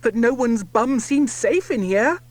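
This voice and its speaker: An eager young country lad with a bright, exclamatory delivery, voiced by a woman reader. His lines leap about in pitch and are given slowly, storybook style. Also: lively intonation. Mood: exclaiming.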